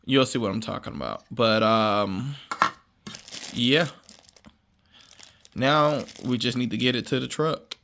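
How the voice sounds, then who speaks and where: A man's voice: mid-range voice, slow, pauses often. Casual American guy, noisy recording. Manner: laid-back, rambling, slangy. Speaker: vlogger showing car audio gear. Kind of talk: casual unboxing vlog chat.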